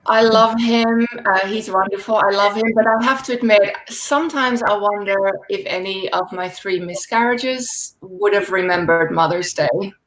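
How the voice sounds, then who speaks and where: A woman in her forties, raised speaking Dutch in the Netherlands, doing a stand-up set over a video call with dry deadpan delivery and laughing asides. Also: medium pitch, moderate pace, few pauses, rough recording. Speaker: stand-up comedian.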